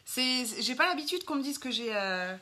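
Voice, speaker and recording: Brief: French woman, 30s, bright slightly high voice, casual chatty live-stream host full of fillers. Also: expressive, normal speed, slight background noise. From France. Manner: chatty, lots of fillers, greets viewers while doing makeup.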